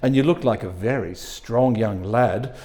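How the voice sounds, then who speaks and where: A man, reading aloud, acts out an elderly rich lady in a short story. The voice trembles and fusses sweetly, with a frail, anxious manner and a soft, mellow tone. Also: mid-range voice, unhurried, voice pushed down, close to an everyday voice. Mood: sweet, fretful. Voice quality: tremulous. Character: frail tremulous old lady, sweetly anxious wealthy dowager.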